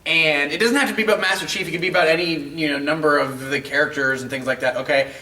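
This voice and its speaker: A man's voice: fairly high pitch, steady tone, fast, pushed and bright. A man co-hosting a casual video game chat, talking emphatically and swearing freely, repeating phrases to drive points home. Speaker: co-host of a video game show. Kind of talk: casual group chat pitching ideas. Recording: roomy echo, distant mic.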